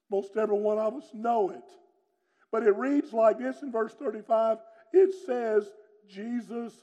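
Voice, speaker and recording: A middle-aged preacher with a booming, forceful way of talking. His voice sits high for a man but has a mellow, warm tone. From North Carolina, USA. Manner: booming, loud and strong delivery. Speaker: pastor. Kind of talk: sermon with personal testimony.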